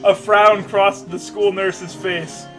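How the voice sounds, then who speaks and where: A man's voice: high-pitched, little pitch movement, slow. A man reading a story aloud with mocking, jokey asides, stammering and stumbling over the text. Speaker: co-host reading fan fiction aloud. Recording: music playing behind the voice. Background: video game music.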